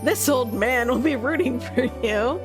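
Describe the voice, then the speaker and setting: A woman's voice: on the high side, a little slow, music in the background, natural voice. A woman voicing a brash, easygoing tomboy teenage schoolgirl in a story game, with a casual drawl. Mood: breezy.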